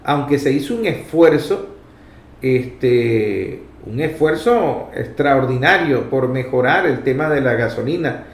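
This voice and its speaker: A man's voice: mid-range voice, expressive, slow. A man with a Venezuelan accent giving a political commentary monologue in an emphatic, didactic way, posing rhetorical questions. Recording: echoey room.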